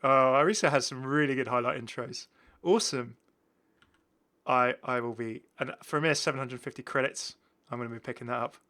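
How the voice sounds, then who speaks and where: Bright-voiced man hosting a gaming video. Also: medium pitch, a little fast. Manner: chatty, enthusiastic, exclaiming. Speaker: host of a gaming channel. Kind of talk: casual gameplay showcase commentary.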